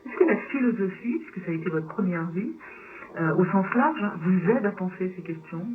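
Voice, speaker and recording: A woman radio interviewer putting literary questions in measured, careful phrases with pauses. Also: animated. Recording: tinny low-bitrate shortwave radio.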